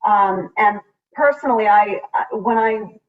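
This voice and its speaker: A woman's voice: mid-range voice, measured pace. An American woman legislator speaking earnestly and emphatically on a panel over a video call. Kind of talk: public panel remarks, speaking from personal conviction. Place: remote video meeting. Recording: distant, muffled video call audio.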